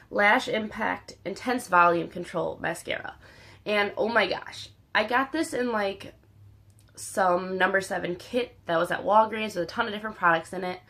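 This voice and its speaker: A young woman with a slightly raspy voice, still recovering from illness, chatting candidly about beauty products she has used up. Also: medium pitch, fairly bright tone. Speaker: beauty vlogger. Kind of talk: casual product review.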